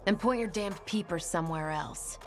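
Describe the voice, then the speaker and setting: A woman's voice: lowish voice, moderate pace, noisy background, lightly acted. A woman playing a prickly, world-weary townswoman, blunt and resigned, in a video game. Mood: irritated. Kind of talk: video game dialogue acting.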